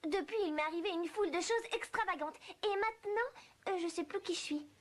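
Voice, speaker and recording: A woman voicing a polite, bewildered little girl in an animated fairy tale, hesitant and earnest as if talking to herself. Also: very high-pitched, a little fast. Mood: confused. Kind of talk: French animated film dubbing.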